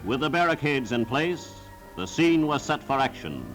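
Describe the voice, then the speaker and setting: A man's voice: mid-range voice, big pitch swings, moderate pace, loud music behind the voice. A man narrating a scripted 1940s wartime newsreel in a solemn, formal, declamatory style, on a vintage film soundtrack.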